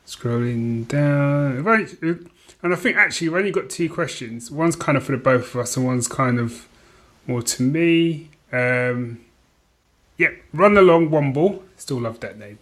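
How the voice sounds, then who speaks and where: A man's voice: medium pitch, animated, measured pace. A man co-hosting a podcast with casual banter, often hesitating as he thinks aloud. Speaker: podcast co-host.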